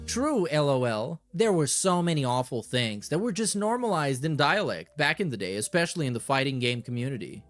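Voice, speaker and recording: A man's voice: medium pitch, fairly bright tone. A man doing casual reaction commentary and reading messages aloud, in a slangy, mocking, sweary way. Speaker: streamer and commentator.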